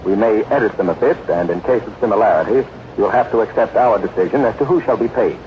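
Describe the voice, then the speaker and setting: A man's voice: on the low side, fast. A man announcing a 1940s radio show, reading sponsor copy in an emphatic, formal manner. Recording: muffled, noisy 1940s radio broadcast.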